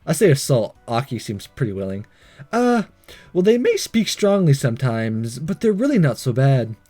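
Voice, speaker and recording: A man's voice: medium pitch, very animated, normal speed, mellow. A man hosting a let's play, reading visual novel dialogue aloud in a playful, theatrical way with joking asides. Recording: game music behind.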